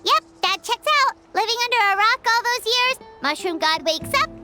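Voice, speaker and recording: A woman's voice: very high-pitched, expressive, normal speed. A woman voicing a tiny, childlike, cheeky and blunt fairy girl in a video game. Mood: sassy. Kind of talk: animated video game acting. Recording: loud game music behind.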